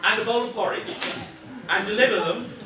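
A man's voice: high-pitched, brisk, a crowd in the background, lightly acted. A man playing a scheming, instructing crook in an amateur stage pantomime, projecting his lines. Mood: bossy, scheming. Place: theatre stage, indoors. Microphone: distant camera in the audience. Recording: echoey theatre from far off, audience noise.

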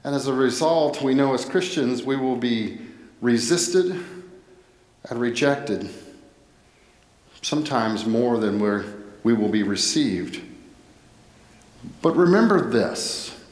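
A middle-aged American man giving a sermon as a pastor, speaking deliberately in short phrases with pauses. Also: mid-range voice, animated. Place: indoor church, at a pulpit. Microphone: headset microphone. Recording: echoey church with long reverb.

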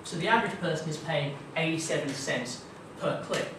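A man's voice: fairly high pitch, normal speed. Australian man presenting in an echoey room. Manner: chatty, many ums and kind-ofs. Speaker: guest speaker at a marketing meetup. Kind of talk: casual tech presentation. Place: dim meeting room with projector screen. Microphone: far room camera. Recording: echoey room, distant camera, noisy.

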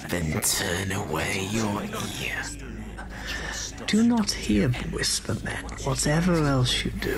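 The eerie Whisper Men. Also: male voice, mid-range voice, exaggerated voice. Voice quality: hoarse breathy whisper. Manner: slow rhyming chant, several voices in turn. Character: eerie faceless male Whisper Men, menacing chanting spirits. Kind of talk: fan audio drama.

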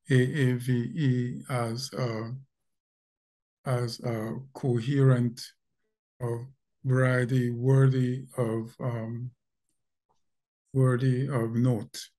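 An older man from Guyana with a Guyanese accent, speaking as a guest in a video call interview, thoughtful and pausing, often repeating words as he searches for them. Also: mid-range voice. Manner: slow, thoughtful, with frequent pauses and repeated words. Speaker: linguist guest. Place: home room indoors. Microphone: video call webcam.

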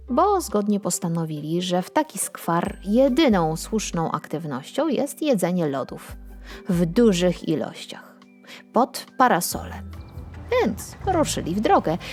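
A woman tells a children's tale at a slow, unhurried pace. Her delivery is expressive and scripted, shaped for bedtime listening, with faint music underneath. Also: medium pitch. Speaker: storyteller reading a children's audio tale. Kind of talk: scripted bedtime story narration, acting out dialogue.

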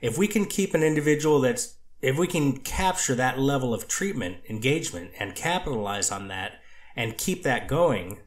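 A man reading the part of a treatment counselor testifying in court, hedging and halting with many restarts. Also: mid-range voice, animated, reverberant, natural voice. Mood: measured. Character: earnest male counselor on the witness stand. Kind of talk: amateur readers theater reading of a court transcript.

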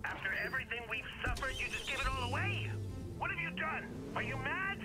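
A man's voice: extremely high pitch, animated, a little fast. An actor playing a gloating middle-aged hacker villain in a video game, mocking and theatrical as he taunts. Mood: outraged. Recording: loud music over the voice.

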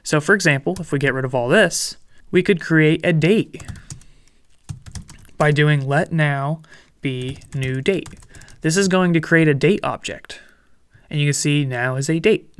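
A young man explaining programming in a relaxed, friendly way over a screen recording, with light jokes. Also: fairly high pitch, a little slow, long pauses, clear, resonant tone. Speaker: programming instructor. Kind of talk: screen-recorded coding tutorial.

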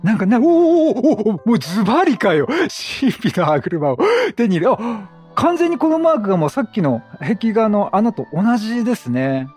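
A man giving chatty, slangy and excitable commentary as he plays a video game. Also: high-pitched, normal speed, hardly any pauses, breathy edge, soft background music. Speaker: let's play commentator.

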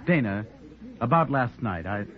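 An actor playing an earnest, apologetic young man with a halting manner, in 1940s radio style. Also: mid-range voice, animated, lightly acted. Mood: sheepish. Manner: hesitant, halting. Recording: tinny 1940s radio recording.

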